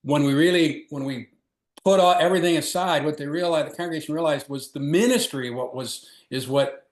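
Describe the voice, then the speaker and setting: A man's voice: a little high, fairly quick. Man introducing a speaker, roomy echo. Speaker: host, former pastor.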